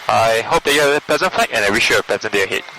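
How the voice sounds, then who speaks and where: A man's voice: mid-range voice, animated, racing, bright, forceful tone, poor audio. A man making a formal, courteous pilot's announcement to passengers over an aircraft intercom. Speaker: airline pilot. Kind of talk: flight deck passenger announcement. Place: aircraft intercom heard in the cabin. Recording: cabin speaker with faint noise.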